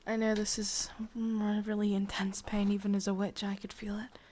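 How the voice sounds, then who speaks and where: A woman with an English accent playing a sadistic, possessive witch in a hushed, breathy ASMR roleplay, slow and coaxing. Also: mid-range voice, level tone, lightly acted. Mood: tender, incantatory.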